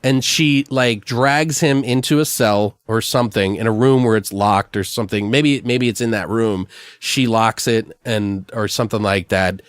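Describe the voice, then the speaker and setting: A man hosting a podcast, chatting casually and brainstorming in a rambling, animated storytelling way with plenty of swearing. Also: fairly low pitch, moderate pace, dry recording. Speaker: podcast host. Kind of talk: casual improvised brainstorming chat.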